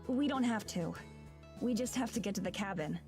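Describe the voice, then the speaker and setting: A woman voicing a gentle, self-assured young woman in a visual novel. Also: medium pitch, animated, moderate pace, faint music behind, close to an everyday voice. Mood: calm assurance.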